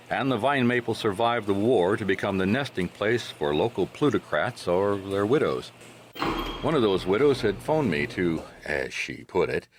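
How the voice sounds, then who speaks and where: An actor playing a wry, easygoing private detective in a radio drama, talking in a dry, casual hardboiled style. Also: male voice, low voice, slight background noise.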